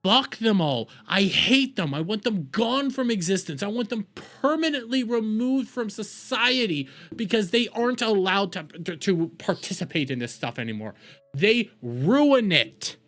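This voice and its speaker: A man's voice: high voice, lively intonation, measured pace, fairly bright tone, faint music behind. A man hosting a reaction video, ranting angrily with lots of swearing and repetition. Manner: heated, profane, repetitive ranting. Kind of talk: angry commentary rant.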